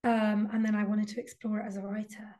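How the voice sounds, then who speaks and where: A woman's voice: medium pitch, little pitch movement, quick pace, dark tone. A young adult woman, a guest author talking reflectively in a casual interview, with frequent ums and likes. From London.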